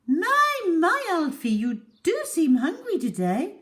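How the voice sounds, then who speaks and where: A woman's voice: extremely high pitch, huge pitch swings, slow pace, a bit bright, pitched above the performer's own voice, full character voice. A woman voicing a doting middle-aged lady who coos to her pet in a gushing, singsong way for a storybook. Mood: delighted.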